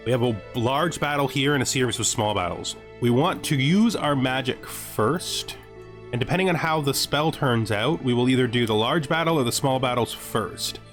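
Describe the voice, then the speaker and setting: Male host for strategy game narration and commentary, mid pitch, faint music underneath. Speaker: host of a gameplay series. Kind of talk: gaming commentary with scripted lore narration.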